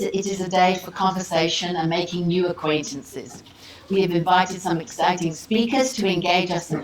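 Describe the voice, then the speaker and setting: A woman welcoming an audience as host of an academic symposium, speaking informally and conversationally with casual asides. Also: lowish voice. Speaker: symposium host giving opening remarks. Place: seminar room with audience. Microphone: worn microphone. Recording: roomy echo, audible background noise.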